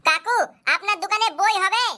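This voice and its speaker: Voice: very high voice, fairly quick, sharp tone. A performer voicing a cheeky, pushy schoolboy customer in a comedy cartoon, with whiny, insistent delivery. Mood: pleading, cheeky. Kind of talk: comic cartoon dubbing.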